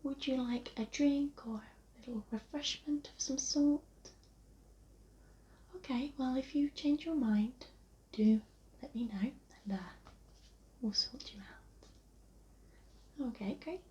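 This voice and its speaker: ASMR roleplay, English woman, slightly high soft near-whisper, reassuring receptionist manner, some background hiss. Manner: polite, reassuring, unhurried customer-service talk. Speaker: ASMR host playing a receptionist. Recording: lo-fi, muffled, noticeable background hiss.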